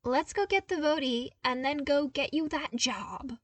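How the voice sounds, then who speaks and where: A woman's voice: high-pitched, measured pace, a bit bright, no echo, recorded from a distance, voice pushed up. A woman voicing an eager, cheeky young male engineer with a casual, upbeat, confident manner in a fan fiction audiobook. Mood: bright, excited.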